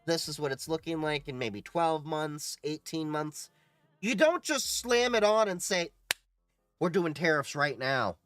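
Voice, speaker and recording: A man's voice: a little high, expressive, unhurried, a bit bright, a little hiss or hum. A man hosting a casual livestream, reading chat questions aloud and giving opinionated answers.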